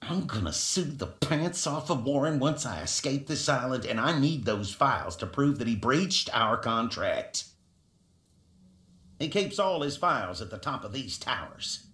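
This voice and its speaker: An actor playing a smug, arrogant miner boss in a video game, talking down to the listener in a pompous, mocking way. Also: male voice, mid-range voice, very animated, a little slow, bright, forceful tone, reverberant. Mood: scheming, condescending. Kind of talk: video game character acting.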